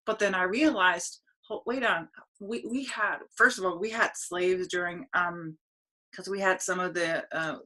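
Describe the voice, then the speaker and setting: A woman from Denmark hosts a spiritual podcast over a webcam call. Her voice is bright and forceful and sits slightly low, and she talks earnestly, stopping and restarting as she searches for words. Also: low-quality recording. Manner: earnest, halting, with many restarts. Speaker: podcast host. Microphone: video call webcam.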